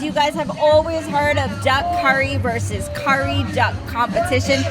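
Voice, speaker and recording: High-voiced woman hosting over loud music. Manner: chatty, upbeat. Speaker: host. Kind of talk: casual show hosting. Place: outdoors in a backyard in the city. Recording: loud music and crowd behind.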